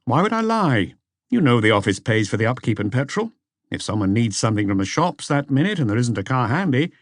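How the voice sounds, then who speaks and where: A man's voice: sing-song, fairly quick, dry recording, studio quality. A man voicing an uneasy, evasive boyfriend in an audiobook, hesitant and defensive as he answers questions. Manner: hesitant, defensive, higher-pitched.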